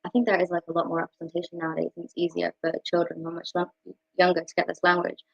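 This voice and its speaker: A woman's voice: lowish voice, completely flat delivery, racing, creaky. A British woman in her twenties speaking earnestly and discursively as a panellist, sharing personal reflections. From United Kingdom. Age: 20s. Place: dry room on a video call. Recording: muffled lo-fi video call audio.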